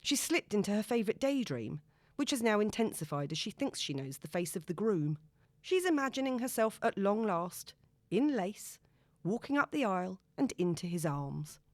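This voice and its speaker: Animated woman narrating a novel. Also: medium pitch, normal speed, no room sound. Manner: dramatic, storytelling. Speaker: audiobook narrator. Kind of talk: expressive scripted novel narration.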